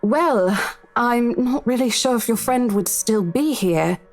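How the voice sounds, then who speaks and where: A woman's voice: a little high, expressive, takes it slowly, darkish tone, faint music behind. Visual novel role: polished, courteous female estate agent with a soothing manner. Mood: businesslike.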